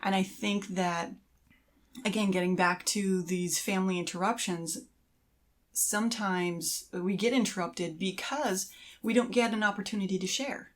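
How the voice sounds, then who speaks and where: A woman's voice: medium pitch, unhurried. A woman co-hosting a podcast in casual conversation, explaining things in a supportive way. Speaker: podcast co-host.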